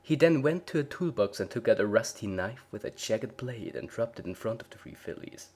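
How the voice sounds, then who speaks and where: A man's voice: on the low side, lively intonation, fairly quick, darkish tone. A man narrating a story as a scripted dramatic reading. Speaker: story reader.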